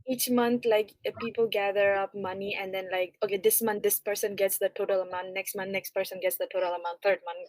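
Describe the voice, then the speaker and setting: Young woman, podcast guest, relaxed casual chat, lots of "like", dry close sound. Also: medium pitch, brisk, hardly any pauses, no room sound, poor audio. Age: young adult. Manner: relaxed, frequent "like" fillers.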